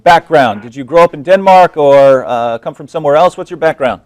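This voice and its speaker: A man's voice: a little high, brisk, dry recording. Stammering male interviewer, dry sound. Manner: stammering, repeats words while clarifying. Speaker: interviewer, channel host. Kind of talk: interview questions.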